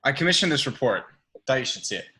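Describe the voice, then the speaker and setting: A principled billionaire businessman read by a man, measured but ready to confront. The voice is medium in pitch with a bright, forceful edge, in an amateur script read. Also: big pitch swings. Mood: dry.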